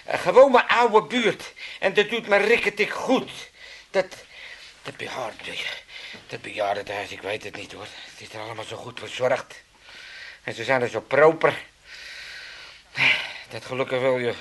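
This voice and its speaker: A man's voice: medium pitch, very animated, moderate pace, a bit bright. A man playing a cheeky, self-pitying elderly father with an Amsterdam accent in a comedy radio play, rambling and stammering in a folksy, blustering way. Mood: sentimental, rambling. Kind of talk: 1980s Dutch comedy radio play acting.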